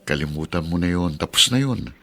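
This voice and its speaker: A kind, humble family man in a radio drama. His voice is on the low side but forward and bright, with an earnest, gentle, expressive delivery. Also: fairly quick, gravelly, heavily acted. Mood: tender, earnest. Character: kind, humble, devout working-class father and husband.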